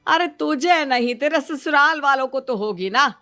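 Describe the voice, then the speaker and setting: A woman's voice: high voice, expressive, normal speed, sharp tone, full character voice. A woman voicing a nagging, exasperated middle-aged mother in a dramatized moral story. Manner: scolding, nagging.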